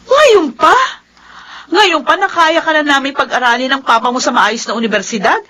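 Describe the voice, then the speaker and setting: A woman's voice: high voice, moderate pace, pushed and bright. A woman playing an exasperated, worried middle-aged mother with a Filipino accent in a melodrama. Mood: shocked, scolding. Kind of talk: melodramatic Filipino drama acting.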